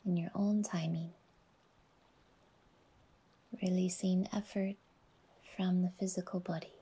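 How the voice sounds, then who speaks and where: A woman guiding a relaxation meditation in a soft, whispery, soothing voice, unhurried and leaving long pauses between phrases. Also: on the low side, flat delivery, slightly rough. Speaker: yoga nidra guide and podcast host.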